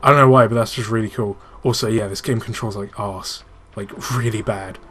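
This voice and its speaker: A man's voice: lowish voice, steady tone, fairly quick, a bit bright. A man hosting casual gaming commentary, swearing freely and explaining things with enthusiasm. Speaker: host playing and explaining a video game. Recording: clearly noisy room recording.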